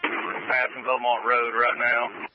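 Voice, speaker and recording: A man's voice: medium pitch, level tone, takes it slowly. A male police officer giving short updates over a police radio. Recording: noisy, muffled police scanner radio.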